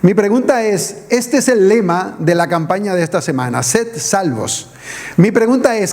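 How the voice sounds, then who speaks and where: High-voiced preacher from the Canary Islands. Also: male voice. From Canary Islands, raised in Venezuela. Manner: earnest, fires rhetorical questions at the congregation. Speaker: guest pastor. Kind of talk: evangelistic sermon.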